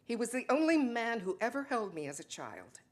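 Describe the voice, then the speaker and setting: Woman doing a defensive wife in a stage play reading, super animated, insistent, gets loud then soft. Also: on the high side, normal speed. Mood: fond reminiscence.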